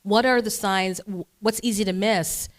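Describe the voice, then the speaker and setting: A woman hosting an interview, with a voice of ordinary middle pitch. Her questions run long and wind around. She sounds eager while she asks them. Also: fairly even intonation, normal speed. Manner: enthusiastic, rambling questions. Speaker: interview host. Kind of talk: interview conversation.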